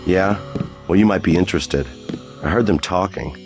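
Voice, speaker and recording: A man's voice: sits low, normal speed, soft background music. A man playing a helpful street informant in a video game, casual and matter-of-fact. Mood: confiding. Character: helpful, low-key male street informant.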